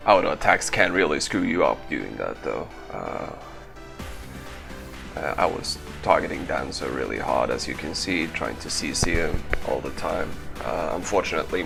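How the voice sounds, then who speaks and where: Low, gravelly man doing game commentary. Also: lively intonation, moderate pace, over music. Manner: offhand, casual swearing. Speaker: gaming video creator and event organiser. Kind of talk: casual gameplay commentary.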